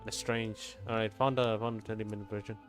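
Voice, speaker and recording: A man's voice: fairly low pitch, level tone, fairly quick, soft background music. A man giving casual, muttered live commentary as he streams a video game.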